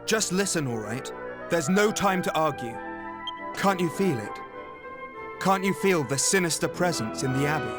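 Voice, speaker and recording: Actor as a suave rogue over loud music. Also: male voice, on the high side, expressive, normal speed, lightly acted. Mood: urgent, grave. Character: suave, roguish young man, cocky charming trickster. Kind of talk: video game voice acting. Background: loud game music.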